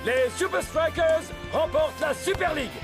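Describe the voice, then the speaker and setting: A man voicing an excitable cartoon football commentator, dramatic and exclamatory. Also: extremely high pitch, normal speed. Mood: excited. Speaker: match commentator. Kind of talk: animated football commentary, French dub. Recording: loud music behind the voice.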